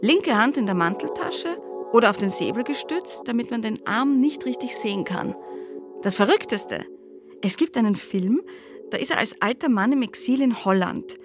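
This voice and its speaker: Actress as a high-voiced, musing woman psychoanalyst. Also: lively intonation, normal speed, close to an everyday voice. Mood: musing, bemused. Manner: conversational, pondering, rhetorical questions. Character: reflective female psychoanalyst, musing wryly on history. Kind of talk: radio drama monologue. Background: music.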